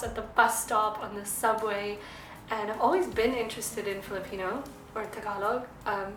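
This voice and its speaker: A young woman from South Africa, slightly hoarse, chatting upbeat to camera in a casual vlog. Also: on the high side, fairly bright tone, a little hiss or hum. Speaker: vlogger. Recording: echoey room.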